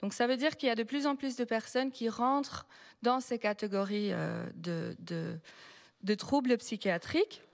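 A woman who is not a native French speaker, a researcher speaking as a panel guest, explaining at a measured pace with frequent hesitations. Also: fairly high pitch, vocal fry. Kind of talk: public panel talk. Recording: long hall reverb.

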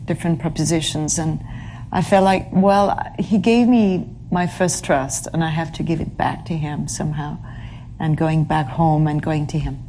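A woman with a notably low voice answers interview questions in a reflective, halting way. She pauses, reaches for words and fills the gaps with small hesitations. A faint hiss sits under her voice. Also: steady tone, mellow, rough recording. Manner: halting, searches for English words, many fillers. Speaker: actress being interviewed.

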